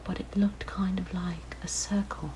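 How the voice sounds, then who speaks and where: A woman voicing a possessive, curious siren monster in an ASMR roleplay, speaking in a soft, breathy whisper with a gentle, coaxing manner. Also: lowish voice, steady tone, a little slow, lightly acted. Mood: fascinated. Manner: gentle, wistful, fascinated, coaxing. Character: possessive, curious young female siren monster, softly luring. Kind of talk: ASMR roleplay, intimate monologue.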